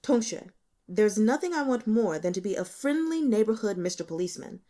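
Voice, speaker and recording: A woman's voice: mid-range voice, expressive, fairly quick, warm tone, close to an everyday voice. A woman voicing a blunt, stern male police investigator in an audiobook, pressing with pointed questions. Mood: sharp, pressing. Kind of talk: audiobook character dialogue.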